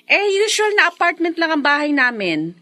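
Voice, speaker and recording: A grown Filipina daughter in a radio drama, eager and talkative. Her voice is high and bright, with an emphatic conversational flow. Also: big pitch swings, moderate pace, exaggerated voice. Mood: curious, businesslike. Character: eager, chatty adult daughter and wife.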